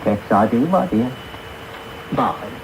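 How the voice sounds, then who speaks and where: A crafty old man wheedles in a high voice that swoops up and down. He is an oily, calculating gang master from a radio play, caught on a hissy, noisy broadcast recording. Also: heavily acted. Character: sly, scheming old male gang master of thieves. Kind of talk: radio drama acting. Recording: noisy medium-wave radio broadcast tape.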